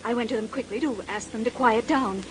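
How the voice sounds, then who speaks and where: An actress playing an earnest, polite, slightly flustered stewardess in a 1930s radio drama. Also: female voice, on the high side, brisk, lightly acted. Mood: earnest testimony. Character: earnest, dutiful young female air stewardess. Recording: noisy vintage 1930s radio recording.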